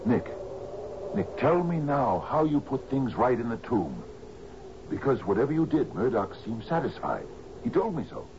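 An actor in a radio drama playing an anxious, genial older island captain, halting and stammering as he pleads. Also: medium pitch, sing-song, darkish tone. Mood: anxious, pleading. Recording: muffled, hissy old radio broadcast.

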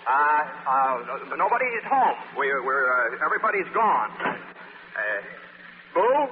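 A man's voice: medium pitch, sing-song, slow. An actor playing a flustered, wisecracking American magazine man in a 1940s radio comedy, hesitant and stammering. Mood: flustered, evasive. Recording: noisy, narrow-band old radio broadcast.

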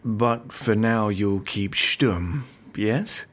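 An investigating agent in an audio drama. His voice sits low with a rough, husky edge. He speaks in a measured, understated way, careful and noncommittal. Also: full character voice. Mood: calm, guarded. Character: calm, cautious male investigating agent, dryly noncommittal. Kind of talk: naturalistic audio drama acting.

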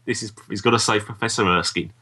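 A man's voice: fairly low pitch, steady tone, fast talker, bright, forceful tone, breathy edge. A man talking casually as co-host of a review podcast. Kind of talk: casual review chat about audio dramas.

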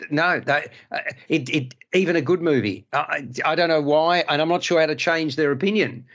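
A man's voice: fairly high pitch, brisk, muffled recording. A male podcast host and film critic, chatting casually and reviewing films with many restarts. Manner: stammering restarts, animated.

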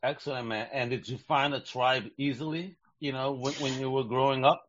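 A man's voice: mid-range voice, steady tone, moderate pace, fairly bright tone, slight background noise. A middle-aged man hosting a podcast in casual, slangy, hyped-up chat, sprinkling in Spanish greetings. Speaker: podcast host. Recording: dull lo-fi sound.

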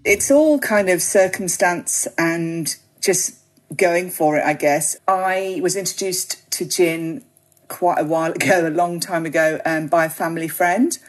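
Guest spot: British woman, low voice, chatty and meandering. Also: measured pace. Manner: chatty, rambling with many ums and you knows. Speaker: podcast guest, gin writer. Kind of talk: relaxed interview chat.

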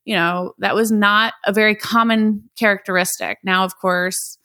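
A woman's voice: mid-range voice, fairly even intonation, normal speed, sharp tone, no echo, clean studio sound. A woman in her forties from the American Midwest, a company founder talking casually in an interview, with lots of fillers and restarts. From Midwest United States. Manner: chatty, with many fillers and restarts.

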